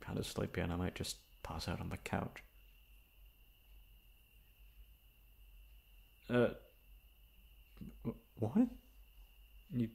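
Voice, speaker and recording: A young man acting a shy, flustered, sweet-natured male classmate in an ASMR roleplay, speaking in a halting, stammering way. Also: mid-range voice, creaky, exaggerated voice. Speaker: voice actor. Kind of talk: ASMR roleplay acting, one-sided dialogue.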